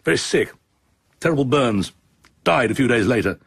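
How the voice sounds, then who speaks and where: An actor playing an efficient, level-headed military chief of staff in a radio drama, British, with a brisk, precise way of explaining. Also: male voice, medium pitch, expressive, gravelly, breathy edge, no room sound, clean studio sound. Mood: briskly informative.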